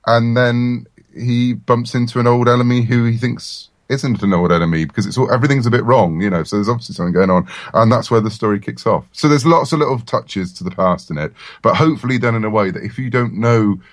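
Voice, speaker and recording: A man's voice: medium pitch, fast, hardly any pauses, no echo, studio quality. A man chatting casually and enthusiastically as the guest in an interview, talking as a comic writer.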